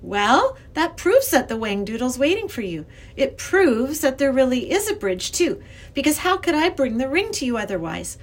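A woman voicing an earnest, excitable young girl who pleads and insists, in an acted children's storybook reading. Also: high voice, normal speed, pitched above the performer's own voice, full character voice. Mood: firm, urgent.

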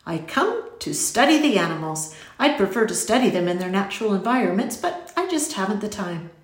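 A woman voicing a whimsical, courteous little gentleman in a storybook, with genteel, exclamatory, formal phrasing. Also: medium pitch, big pitch swings, a little fast, roomy sound, natural voice. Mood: earnest, courteous. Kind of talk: audiobook character voice.

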